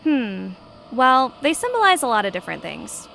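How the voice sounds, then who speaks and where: An actress playing a bookish young student, explanatory and matter-of-fact, in an audio drama. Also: female voice, fairly high pitch, wildly swooping pitch, normal speed, gravelly, pushed and bright, slight background noise, exaggerated voice, low-quality recording. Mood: knowledgeable. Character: bookish young female art history student. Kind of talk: natural audio drama dialogue. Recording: faint ambience behind.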